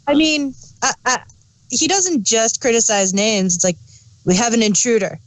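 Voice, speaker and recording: A woman's voice: a little high, normal speed, no echo, poor audio. A woman co-hosting an unscripted review, talking in an informal, bantering way. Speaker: podcast co-host. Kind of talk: casual unscripted review chat.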